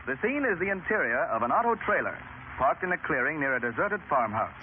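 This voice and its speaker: American man, 1930s announcer, fast formal scripted delivery, animated intonation, narrow old radio sound. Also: on the high side. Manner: brisk, formal. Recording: narrow-band 1930s radio, faint noise.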